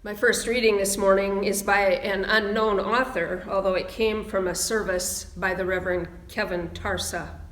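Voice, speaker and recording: A woman minister reading aloud to lead a church service, with deliberate, measured phrasing. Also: mid-range voice, fairly even intonation, fairly bright tone. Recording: roomy, echoing sound.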